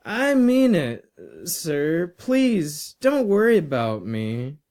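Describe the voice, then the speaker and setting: A man's voice: a little high, very animated, slow, darkish tone, pitched above the performer's own voice. A man voicing an anxious teenage schoolboy in an audiobook, eager, hesitant and over-polite. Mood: earnest pleading. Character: teenage boy student, anxious, politely placating an old teacher.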